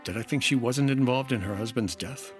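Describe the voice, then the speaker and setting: An actor playing a wry, persistent 1950s insurance investigator in the dry, clipped style of a radio detective drama. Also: male voice, medium pitch, fairly quick, over music, lightly acted. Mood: dry, businesslike.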